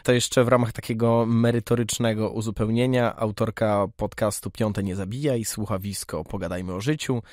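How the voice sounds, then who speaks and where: A man's voice: on the low side, steady tone, moderate pace, hardly any pauses, darkish tone, no echo. Male podcast interviewer, dry sound. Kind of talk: conversational interviewing.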